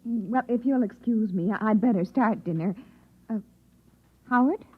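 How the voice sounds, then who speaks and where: A woman playing a solicitous, gently chiding wife, polite and a little anxious, in 1950s radio drama style. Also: on the high side, moderate pace, lightly acted. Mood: anxious, tender. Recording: slightly muffled, hissy 1950s radio recording.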